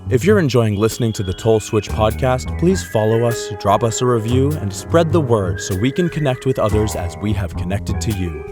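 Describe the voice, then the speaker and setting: A man with a fairly low voice reads scripted closing credits as an announcer. Music plays clearly behind him. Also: animated, normal speed, few pauses.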